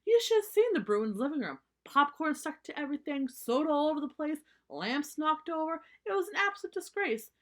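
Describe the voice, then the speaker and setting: A woman's voice: high-pitched, very animated, brisk, few pauses, voice pushed up. A woman voicing a stern, exasperated mother bear from a children's storybook, scolding with indignant emphasis.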